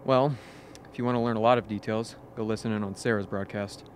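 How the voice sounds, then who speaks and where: Radio drama crewman, male actor: blunt, wry, breezy, on the low side, faint background noise. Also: steady tone, fast, close to an everyday voice. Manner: sardonic, breezy. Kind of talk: radio play acting.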